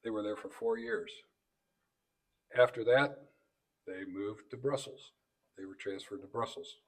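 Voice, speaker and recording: A man introducing guests in a banquet speech, delivering it phrase by phrase in a halting way. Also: lowish voice, poor audio. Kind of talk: banquet introduction speech, read from notes with asides. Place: banquet event room.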